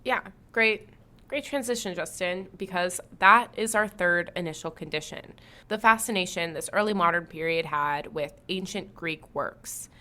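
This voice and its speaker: A woman hosting a history podcast, reading scripted narration smoothly. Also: lowish voice, moderate pace, sharp tone. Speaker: podcast host.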